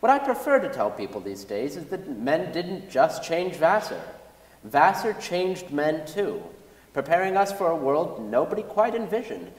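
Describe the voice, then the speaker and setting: A man's voice: a little high, big pitch swings, moderate pace. A young man giving a scripted dramatic reading of an essay on a concert hall stage. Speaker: dramatic reader. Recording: echoey hall, distant from the mic.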